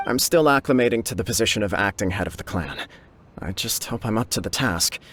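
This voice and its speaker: A modest young nobleman in a video game, polite and slightly bashful. His voice sits a little low. A faint hiss lies under it. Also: lively intonation, fairly quick, a bit bright. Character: modest, earnest young nobleman, acting clan head.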